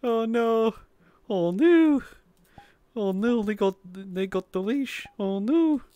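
A man's voice: high-pitched, animated, dark tone, smooth, no room sound, dull audio. A man streaming in a virtual world, chatting casually and laughing often. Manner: giggly, teasing, quick to laugh. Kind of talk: casual livestream banter.

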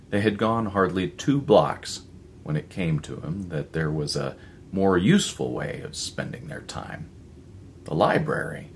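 Very deep-voiced man narrating slowly. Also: creaky, slight background noise. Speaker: voice actor, audiobook reader. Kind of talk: dramatic scripted story narration. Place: living room, indoors.

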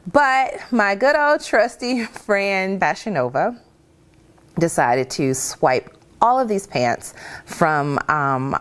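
Chatty low-voiced woman fashion vlogger. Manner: chatty, animated, addresses viewers as y'all. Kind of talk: casual fashion vlog talk. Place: home kitchen.

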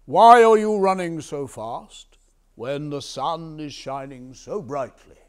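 A man's voice: medium pitch, very animated, takes it slowly. A man voicing an old, commanding and sceptical king in a told folk tale. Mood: stern, dismissive.

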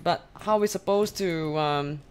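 A woman's voice: low-pitched, moderate pace, a little mellow, clear, resonant tone. A young woman with a Singaporean accent, livestreaming a horror game and talking to her chat, swearing and shrieking at scares. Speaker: streamer. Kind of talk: live gameplay commentary. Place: small bedroom. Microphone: studio mic on arm with headphones.

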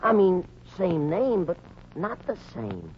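Low-voiced, dim, stammering sidekick. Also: female voice, sing-song, slow pace. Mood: puzzled. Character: slow-witted, loyal, hesitant sidekick pal. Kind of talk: 1940s radio comedy acting. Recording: muffled, noisy 1940s radio recording.

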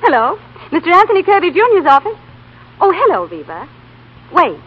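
Casting: animated high-voiced comedy woman, 1930s radio style, muffled noisy broadcast. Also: a little fast, exaggerated voice. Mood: teasing.

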